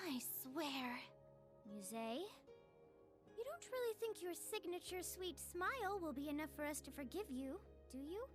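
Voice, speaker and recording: A woman's voice: high voice, sing-song, slow, faint music behind, exaggerated voice. An actress playing a spirited, frank young woman in a video game, speaking expressively. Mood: exasperated.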